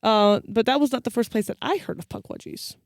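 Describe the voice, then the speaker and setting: A woman's voice: on the high side, lively intonation, brisk, no echo, clean studio sound. A woman co-hosting a podcast, telling folklore in a casual, teasing, storytelling way. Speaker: podcast co-host. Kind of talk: casual banter about folklore.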